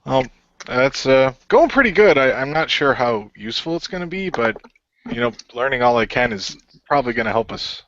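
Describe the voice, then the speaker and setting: A man's voice: mid-range voice, big pitch swings, moderate pace, fairly bright tone, a little hiss or hum. A man playing a modest, unsure member of a hero team in an amateur radio play, speaking hesitantly. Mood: tentative. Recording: dull lo-fi voice track.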